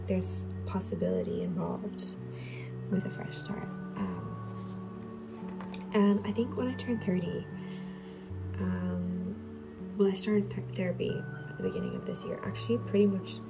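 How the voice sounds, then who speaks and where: Gravelly-voiced woman of thirty over music. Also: fairly low pitch, wildly swooping pitch, unhurried, long pauses. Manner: rambling, frequent "like" and "um", soft-spoken. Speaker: journal video creator. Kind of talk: relaxed voice-over chat. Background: background music.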